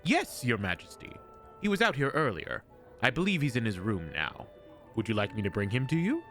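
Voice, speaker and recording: A man's voice: medium pitch, sing-song, fairly quick, fairly bright tone, faint music behind. A man playing an obliging castle knight in a fan audio drama, formal and eager to serve. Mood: deferential, helpful. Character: deferential, obliging adult male knight of a castle.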